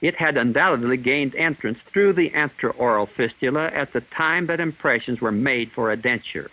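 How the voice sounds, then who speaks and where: A man reading scripted instructional narration in a formal, measured, clinical manner, in the style of a 1960s training film. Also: medium pitch. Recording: dull 1960s film soundtrack.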